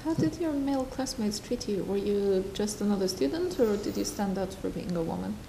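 A young adult woman interviewing a guest, attentive and asking measured questions. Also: mid-range voice, animated, gravelly, noisy background. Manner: attentive, brief affirmations. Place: wood-panelled library room.

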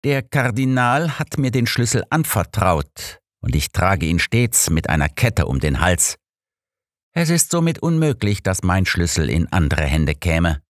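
A man's voice: low voice, big pitch swings, unhurried, no echo, clean studio sound, pitched above the performer's own voice. A man voicing a grim, dignified elderly pastor in an audiobook, formal and easily affronted. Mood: indignant.

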